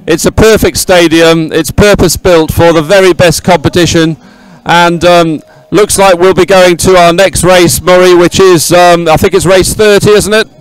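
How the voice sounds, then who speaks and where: High, clear-voiced male sports presenter. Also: fairly even intonation, normal speed, few pauses, no room sound, low-quality recording. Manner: effusive, repeats words for emphasis. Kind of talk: live unscripted event commentary and chat. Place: outdoors at a busy regatta venue.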